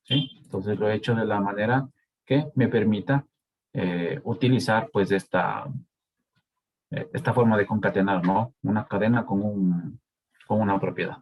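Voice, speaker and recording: A man's voice: lowish voice, narrow, tinny audio. A man with an Ecuadorian Spanish accent teaching a live coding lesson, stopping often to ask the students whether they are following. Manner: slow, checks in with frequent "¿sí?" and "¿de acuerdo?". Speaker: university lecturer.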